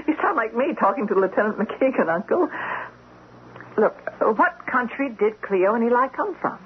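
A woman's voice: fairly high pitch, lively intonation, unhurried. Troubled female doctor, earnest, hesitant delivery, radio drama, lo-fi noisy recording. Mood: curious, probing. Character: earnest, troubled woman doctor at a neighborhood clinic.

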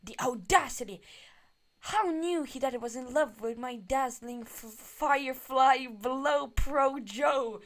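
A woman's voice: sits high, unhurried. A young woman reading a fanfiction aloud with a friend, incredulous and tripping over words. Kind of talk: casual comedic reading.

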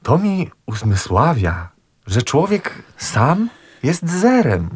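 Niezdecydowanego młodego kawalera. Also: male voice, a little high, huge pitch swings, takes it slowly, breathy edge, full character voice. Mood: hesitant. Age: young adult. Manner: hesitant, stammering. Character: young, hopelessly indecisive gentleman. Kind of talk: radio drama acting.

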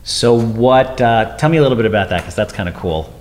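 A man's voice: on the low side, expressive, a little fast, a little mellow, long reverb tail, a little hiss or hum. A man hosting a podcast, chatting casually and upbeat, heaping playful compliments on his guest. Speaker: podcast host. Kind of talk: casual interview chat.

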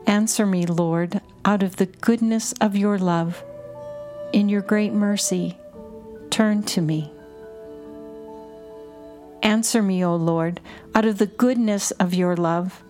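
Slow-paced woman leading contemplative prayer. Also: mid-range voice, steady tone, darkish tone. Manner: measured, deliberate phrasing in short lines. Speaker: prayer guide and podcast host. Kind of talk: slow, repetitive guided contemplative prayer reading. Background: music under the voice.

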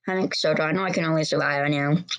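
A woman voicing a timid, eager teenage girl student who talks haltingly with many restarts. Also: low-pitched, fairly even intonation, close to an everyday voice. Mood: nervous.

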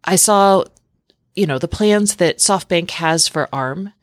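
A woman's voice: on the low side, takes it slowly, no room sound, studio quality. A woman hosting a tech podcast, talking in a chatty, informal way with lots of "like" thrown in. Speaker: podcast host. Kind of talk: casual tech podcast chat.

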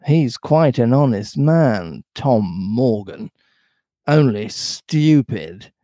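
A man voicing a wily, blustering pirate sea-cook from a storybook, with drawn-out, emphatic delivery. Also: medium pitch, expressive, warm tone, no echo, clean studio sound. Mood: confidential. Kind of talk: storybook read-aloud character voice.

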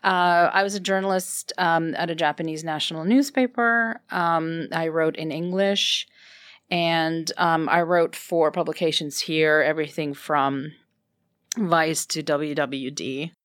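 Russian-native woman guest, smooth lowish voice, thoughtful and hesitant, dry close mic. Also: fairly even intonation, unhurried, a bit bright, no echo. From Ukraine. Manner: thoughtful, many ums, laughs lightly. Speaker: guest, fashion brand founder. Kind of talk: casual interview chat.

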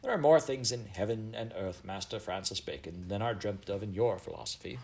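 A man's voice: fairly low pitch, fairly quick, a little mellow, a little hiss or hum, natural voice. A man voicing a haughty, vain middle-aged Elizabethan queen with grand, archaic, commanding speech in a storybook reading. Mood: cool, measured.

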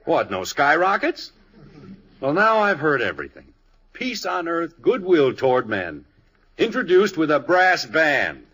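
A man's voice: a little high, very animated, a little slow, a bit bright, husky, heavily acted. An American actor playing an exasperated, indignant middle-aged father in a 1950s radio sitcom, blustery, sarcastic and emphatic.

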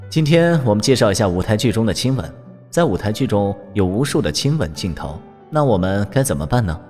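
A man playing a gentle, admired university teacher with a soft, playful, teasing way of talking in an audio drama. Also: on the low side, sing-song, a little fast. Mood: poised. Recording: voice over background music.

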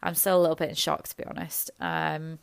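A woman, a nurse, talking in a halting, rambling, self-deprecating way, her voice hoarse and gravelly from illness. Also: sits low, level tone, no room sound. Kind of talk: reflective personal monologue.

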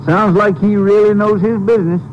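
An actor playing a sympathetic, plain-spoken small-town sheriff with folksy phrasing in a radio crime drama. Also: male voice, sits high, fairly even intonation, normal speed, a little mellow, natural voice. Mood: puzzled, conversational. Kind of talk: old-time radio crime drama acting. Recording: muffled, noisy vintage radio recording.

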